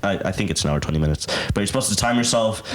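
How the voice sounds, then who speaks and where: A man's voice: on the low side, expressive, rapid-fire. A young man chatting casually as a student podcast co-host, rambling and repeating himself, with some swearing. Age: teenager or young adult. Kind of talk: casual group chat. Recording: roomy echo, faint background noise.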